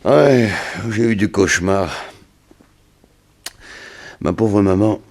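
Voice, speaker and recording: A man's voice: fairly low pitch, slow, darkish tone. A man playing a worn-out, mournful former captive in a hospital bed, hesitant and weary, in a 1960s French radio mystery. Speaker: actor in a radio serial.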